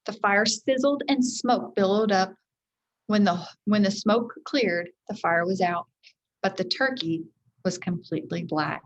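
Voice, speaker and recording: A woman reading a children's chapter book aloud in an expressive teacherly style, speaking directly to her young listeners. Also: mid-range voice, measured pace, muffled recording. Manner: addresses young listeners directly, acts out dialogue.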